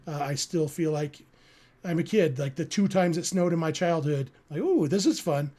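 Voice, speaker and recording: Sports podcast host: American man, notably high-pitched voice, earnest, reflective, conversational live delivery. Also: expressive, normal speed, mellow. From California, United States. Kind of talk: casual live sports talk, answering listener chat.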